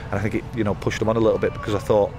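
Fast-talking football manager, noisy pitchside. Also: male voice, flat delivery. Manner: rambling, frequent restarts and fillers. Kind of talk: post-match interview. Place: outdoors by a floodlit football pitch at night. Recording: outdoor interview with loud background noise.

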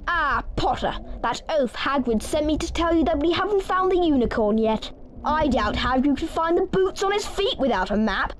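A woman's voice: high-pitched, lively intonation, moderate pace, a little hiss or hum. A woman voicing a sneering, spoilt schoolboy with an English accent, haughty and scornful, in a video game. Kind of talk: video game character dialogue.

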